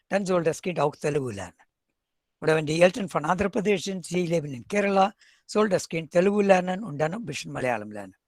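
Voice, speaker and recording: A man's voice: on the high side, no echo, studio quality. Indian man giving an academic talk. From South India. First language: Tamil. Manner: wry asides, switches between German and English. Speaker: professor speaking at a webinar.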